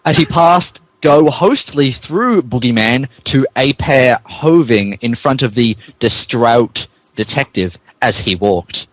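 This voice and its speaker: Male comic podcast narrator, mid-pitched, mock-earnest, dry recording, casual with dramatic read-aloud flourishes. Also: expressive, slow pace, hardly any pauses, no room sound, low-quality recording. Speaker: podcast host and story narrator.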